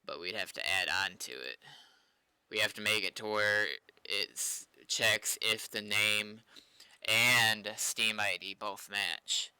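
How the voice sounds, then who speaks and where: A man's voice: fairly low pitch, takes it slowly, pushed and bright, clean tone, dry recording, rough recording. An American man chatting casually on a livestream, thinking aloud and muttering to himself as he works, with informal speech and mild swearing. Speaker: game developer livestreaming.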